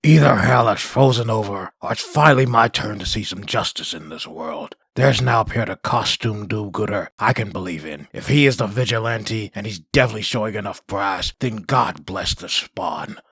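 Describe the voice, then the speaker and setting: A gruff, street-weary comic book man played by a male voice actor, low and very husky with heavy gravel. He is opinionated and sardonic, leaning hard on his words. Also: normal speed, few pauses, a bit bright, no echo, clean studio sound, exaggerated voice. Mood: fervent, defiant. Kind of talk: comic book dub acting.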